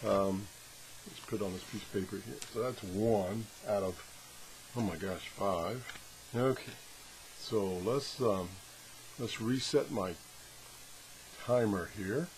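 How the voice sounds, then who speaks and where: A man talking casually and off the cuff as he tests a product, thinking aloud with a self-deprecating, hands-on manner. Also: on the low side. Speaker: product reviewer. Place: home workshop, indoors. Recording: clearly noisy workshop recording.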